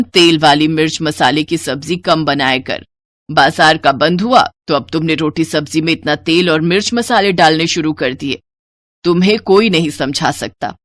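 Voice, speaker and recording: An older Indian mother-in-law played by a woman with a lowish voice and a dry, close sound. She is long-suffering and caring, and she admonishes as she explains, in the manner of a storybook moral tale. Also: fairly bright tone, no room sound. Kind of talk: dramatised Hindi moral story.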